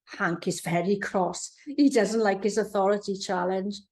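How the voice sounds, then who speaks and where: A woman narrating a storybook for young children in an expressive storytelling manner. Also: mid-range voice, measured pace. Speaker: author reading own children's book.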